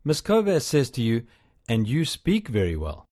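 Slow, lively-pitched male lesson narrator. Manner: calm, measured prompting. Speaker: language course narrator. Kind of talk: scripted instructional narration.

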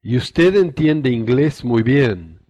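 Slow man modeling lesson phrases, dry. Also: mid-range voice, animated, mellow, no room sound. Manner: careful, deliberate enunciation. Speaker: native model speaker in a language course.

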